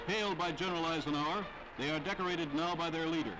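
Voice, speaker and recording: Man, higher-pitched voice, formal declamatory newsreel narration, vintage 1940s soundtrack, loud music behind. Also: fast talker, rough recording. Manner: formal, declamatory, dramatic phrasing.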